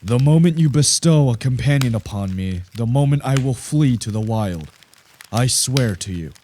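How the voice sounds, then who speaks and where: A man's voice: mid-range voice, expressive, normal speed, dark tone, slight background noise, natural voice. A man acting a lonely, vengeful monster in a radio play, speaking in a grave, formal, aggrieved way. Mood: vengeful, demanding. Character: lonely, vengeful male monster created by a scientist. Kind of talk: radio play narration and dialogue.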